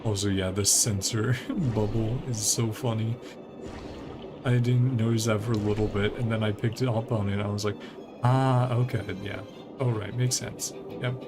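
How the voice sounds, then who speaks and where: A man giving casual, rambling gameplay commentary as a streamer, thinking aloud as he plays, with a creaky voice. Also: fairly low pitch, fairly even intonation, moderate pace. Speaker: video game streamer. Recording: game music behind the voice. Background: video game music.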